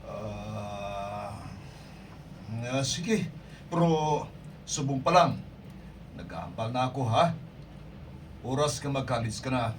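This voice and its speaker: A man's voice: medium pitch, animated, slow, long pauses. A man playing a patient, hesitant father in an amateur radio drama, on a distant, noisy recording. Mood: measured, yielding. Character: patient, hesitant father talking to his child. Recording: distant mic, clear background noise.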